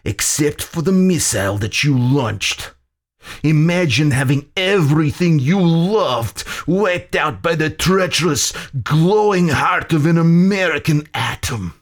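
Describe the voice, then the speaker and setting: A man's voice: on the high side, expressive, measured pace, voice pushed up. Man acting a seething, defiant American captain. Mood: bitter anger. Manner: seething, clenched, heroic. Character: defiant, hot-tempered American army captain, male action hero.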